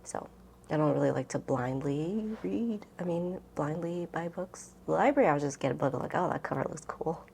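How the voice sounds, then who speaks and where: A woman's voice: sits low, expressive, normal speed, gravelly, warm tone, slight background noise. A woman book vlogger talking casually and informally, rambling and thinking aloud as she answers questions. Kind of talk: casual chatty vlog, answering tag questions.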